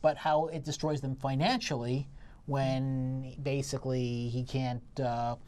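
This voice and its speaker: A woman co-hosting a casual theatre review, talking in a halting way and circling back to her points. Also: rumbling low, flat delivery, dark tone. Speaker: theatre critic, co-host.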